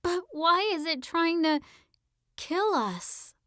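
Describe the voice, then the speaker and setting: A woman playing a sharp, argumentative sixteen-year-old girl in an audiobook, speaking in a slangy, emphatic, insistent way. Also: extremely high pitch, animated, slow pace, no echo, clean studio sound, full character voice. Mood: questioning.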